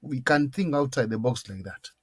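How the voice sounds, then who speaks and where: A man's voice: mid-range voice, animated, normal speed, breathy edge, dry recording, clean studio sound. A Kenyan man with a Kenyan accent, arguing emphatically in a political discussion. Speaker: panelist.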